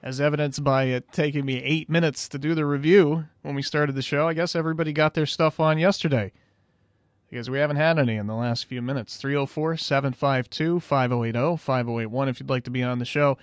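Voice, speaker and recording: A man's voice: medium pitch, fairly even intonation, a little fast, dry recording, studio quality. A man hosting a call-in radio show in a casual, rambling way, his voice tired and fading. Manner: easygoing and rambling, with frequent "uh"s.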